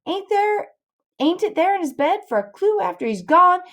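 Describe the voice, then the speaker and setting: A woman's voice: extremely high pitch, big pitch swings, normal speed, a bit bright, pitched above the performer's own voice, heavily acted. A woman voicing a bossy, grandiose boy schemer in a storybook reading, lecturing scornfully in rustic dialect. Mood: exasperated, scornful.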